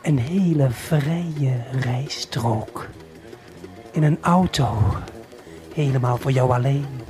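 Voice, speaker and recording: A man playing a commanding, self-important God in an audio play, speaking slowly and theatrically, at times almost whispering. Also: mid-range voice, a little mellow, close to an everyday voice. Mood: solemn, commanding. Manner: imperious, theatrical, breaking into whispers. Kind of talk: slow audio play monologue to the listener. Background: faint music.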